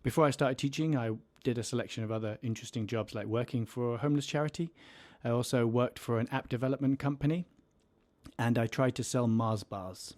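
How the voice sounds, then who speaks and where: A man's voice: medium pitch, fairly even intonation, brisk, darkish tone. A man in his thirties, a teacher co-hosting a casual podcast with a playful, self-mocking humor. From England.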